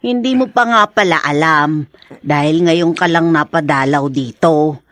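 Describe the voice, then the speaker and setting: A woman's voice: low-pitched, measured pace, fairly bright tone. An actress with a Filipino accent, playing a fond, talkative middle-aged mother in a radio drama. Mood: coaxing.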